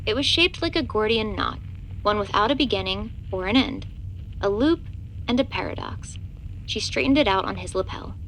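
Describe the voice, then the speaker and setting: A woman narrates a scripted drama in third person. Her delivery is very varied in pitch with a gravelly creak, over faint noise. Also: expressive, normal speed, a bit bright, poor audio.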